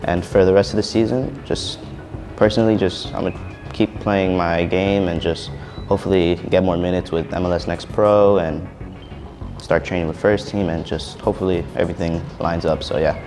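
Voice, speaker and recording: A teenage male soccer player answering interview questions in a relaxed way, often ending with "so yeah". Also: sits low, moderate pace, over music. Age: teens. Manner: relaxed, ends thoughts with "so yeah".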